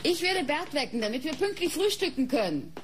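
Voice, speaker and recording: A woman playing the brisk, chatty lady of the house in a radio crime play. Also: sits high, very animated, a little hiss or hum. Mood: brisk, slightly irritable. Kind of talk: German radio crime drama acting.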